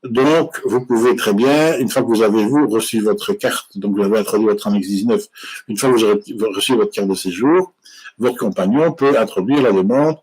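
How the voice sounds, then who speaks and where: Lawyer guy explaining immigration law live, lowish husky voice, lots of ums and restarts. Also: moderate pace, darkish tone, low-quality recording. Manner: explanatory, frequent hesitations and restarts.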